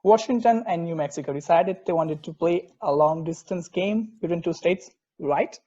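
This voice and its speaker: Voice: mid-range voice, normal speed, warm tone, husky, highs cut off, close to an everyday voice. A performer playing an enthusiastic, snarky sports podcast co-host in a casual table read. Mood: casual storytelling.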